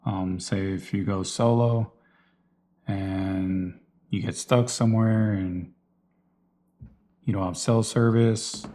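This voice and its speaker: Mellow low-voiced man explaining gear. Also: steady tone, slow, long pauses, a little hiss or hum. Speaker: vlogger presenting personal radio gear. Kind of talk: casual unscripted gear walkthrough.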